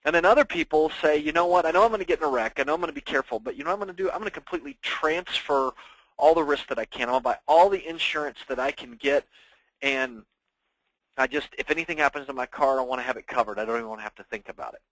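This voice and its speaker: A man's voice: a little high, racing, a bit bright, no echo, rough recording. A man presenting to investors as a financial planner, explaining things informally and conversationally with everyday examples.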